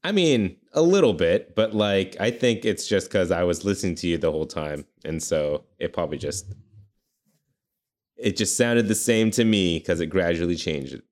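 A man's voice: low voice, moderate pace, a little mellow. A man co-hosting a casual podcast, laid-back and a bit hesitant as he talks. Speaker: podcast co-host.

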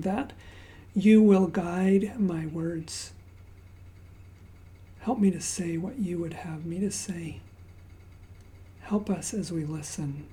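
A woman raised in Zambia giving a sermon. She opens with a quiet prayer and then tells stories conversationally, often dropping to a hushed near-whisper. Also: on the low side. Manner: conversational storytelling with fillers and asides. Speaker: pastor.

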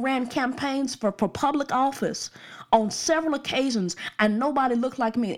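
Earnest woman, slightly high voice. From Birmingham, Alabama suburbs. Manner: earnest, repetitive, emphatic. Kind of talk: opinion monologue.

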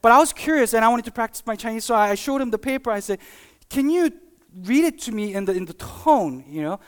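Preacher doing a casual sermon, kinda halting, lots of right and you know, medium pitch voice. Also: expressive. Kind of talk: conversational sermon with personal anecdotes and acted-out examples.

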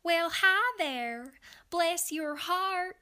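A woman's voice: very high-pitched, lively intonation, very slow, pushed and bright, voice pushed up, exaggerated voice. A woman putting on a sweet, folksy, hospitable belle with a Southern US accent.

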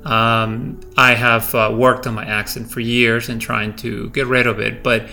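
A man's voice: medium pitch, level tone, a little slow, vocal fry, bright, forceful tone, faint music behind. A middle-aged man from Peru with a slight Peruvian Spanish accent, Spanish his first language, hosting a podcast and reflecting personally in a hesitant way, with many fillers and restarts. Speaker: podcast host.

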